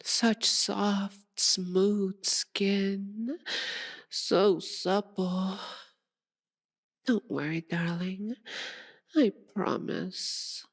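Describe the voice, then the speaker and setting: A woman's voice: medium pitch, steady tone, very slow, long pauses. A woman voicing a sadistic, possessive female vampire in an intimate ASMR roleplay, purring and domineering, often dropping toward a whisper. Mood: menacing, pleased.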